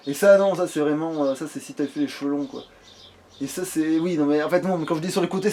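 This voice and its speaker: A man's voice: fairly high pitch, a little mellow, a little hiss or hum. A man chatting casually through a video game as let's play host, slangy and sweary, grumbling as he goes. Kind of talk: casual gaming chat.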